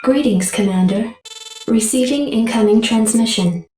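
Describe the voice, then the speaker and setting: An actress voicing a composed, impersonal military adjutant making formal announcements in a sci-fi game. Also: female voice, on the high side, moderate pace, noisy background, close to an everyday voice. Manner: formal, detached. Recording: very echoey, distant processed voice.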